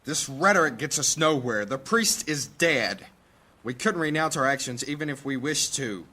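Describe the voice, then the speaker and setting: A man playing a blunt, hot-tempered mage councillor in a fantasy audio drama, forceful and impatient in his delivery. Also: medium pitch, expressive, moderate pace.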